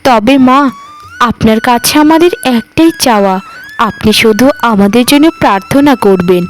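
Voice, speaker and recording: A loving young cartoon wife, played by a woman, full of sorrow. She has a high voice and a plaintive, melodramatic delivery, with faint music underneath. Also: lively intonation, normal speed, close to an everyday voice. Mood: gentle pleading. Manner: plaintive, emotional. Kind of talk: melodramatic cartoon dialogue acting.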